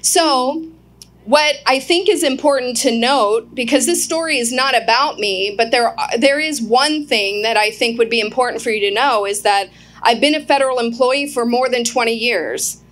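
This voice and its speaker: A woman's voice: on the high side, normal speed, fairly bright tone. Earnest woman addressing a crowd. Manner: earnest, asks the crowd questions. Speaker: guest speaker. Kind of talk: public speech to a live crowd. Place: outdoor event.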